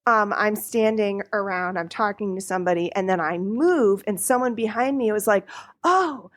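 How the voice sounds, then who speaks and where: A woman co-hosts a casual podcast from a hotel room, speaking into a tiny clip-on mic. She tells her stories with energy and acts out each line that people said to her. Her pitch sits in the middle for a woman. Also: moderate pace. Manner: animated storyteller, acts out quotes. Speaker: podcast co-host. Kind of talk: casual chat. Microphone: tiny clip-on mic rubber-banded to a bigger mic.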